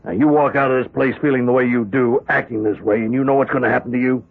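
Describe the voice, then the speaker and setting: A man's voice: medium pitch, fairly even intonation, fast, lightly acted. An actor playing a paternal but stern prison warden in a radio drama, hearty and impatient in his lecturing. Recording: muffled, tinny old radio broadcast.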